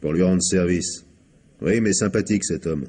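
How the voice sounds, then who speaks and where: An actor playing a sly, evasive butler under questioning in a French radio crime drama, husky and colloquial. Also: male voice, sits low, moderate pace, mellow. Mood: falsely innocent. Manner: colloquial, feigned innocence.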